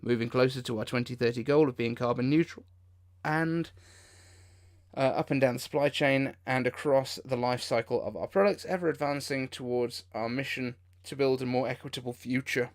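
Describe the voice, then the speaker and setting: Guy doing live reaction stream, casual chatty commentary, kinda incredulous, normal mid pitch. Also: normal speed. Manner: informal, incredulous exclamations. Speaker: livestream host.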